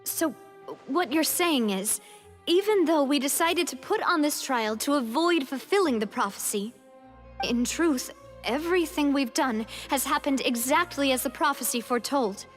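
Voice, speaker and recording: A woman's voice: high-pitched, expressive, normal speed, faint music behind. An actress playing an earnest, hesitant young woman in a story-driven game. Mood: grave, realizing.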